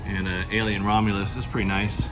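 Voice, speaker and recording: A man hosting a movie and toy vlog, talking in a casual, excitable, slangy way. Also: on the low side, fairly quick, loud music behind the voice. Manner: excitable, slangy, chuckles often. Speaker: host of a toy and movie review channel. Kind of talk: casual, enthusiastic vlog chat.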